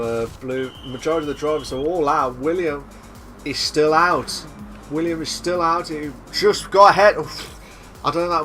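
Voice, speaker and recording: A young man doing live sim racing commentary, excitable and repetitive, with a voice he says he is losing. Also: fairly high pitch. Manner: excitable, repeats himself, shouts at big moves. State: losing his voice.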